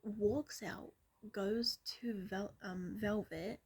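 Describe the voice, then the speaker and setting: A young woman telling a story in a soft ASMR-style whisper, rambling with lots of fillers. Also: medium pitch, steady tone, extremely slow, husky.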